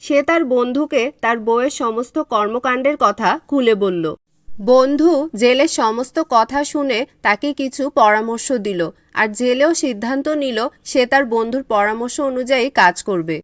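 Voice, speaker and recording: A woman's voice: on the high side, normal speed, no room sound, clean studio sound. A woman giving scripted storybook narration as the narrator of a cartoon.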